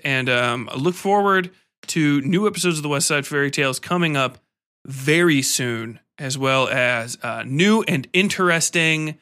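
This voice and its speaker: A man's voice: mid-range voice, pushed and bright. A man hosting a podcast in a casual, informal, slangy way. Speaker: podcast host interviewing an author.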